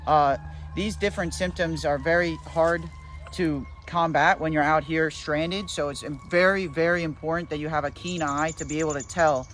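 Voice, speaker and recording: A young man plays an overconfident survival expert, talking with a deadpan, boastful ramble. His voice sits a bit high for a man. He is recorded outdoors with a faint background hiss. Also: moderate pace. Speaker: mock survival-show host. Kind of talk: deadpan comedic parody narration. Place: outdoors in nature.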